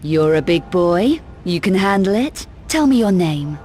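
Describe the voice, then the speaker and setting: A woman's voice: lowish voice, very animated, measured pace, mellow, soft background music. A woman with a British accent playing a tough, sardonic female adventurer in a video game, speaking in a clipped, dry, demanding way. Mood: cool, probing. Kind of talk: video game cutscene acting.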